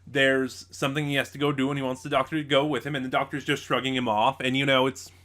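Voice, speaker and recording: Guy doing an off the cuff review, bright forward voice, keeps stumbling and regrouping. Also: mid-range voice, flat delivery. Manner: halting, loses his train of thought. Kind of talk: casual unscripted audio drama review.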